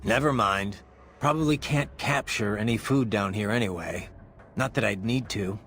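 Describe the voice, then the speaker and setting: A man's voice: lowish voice, normal speed, a bit bright, a little hiss or hum. A man voicing an earnest, dry cyborg mercenary in an English-language video game. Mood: casual, curious. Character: young adult male cyborg mercenary, earnest, dry and indignant. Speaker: field operative.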